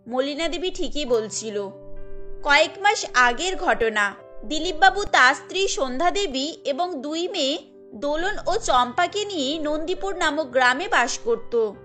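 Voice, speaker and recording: A woman with a high voice narrates a cartoon story from a script. She keeps a steady storytelling pace, and faint music plays behind her. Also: measured pace.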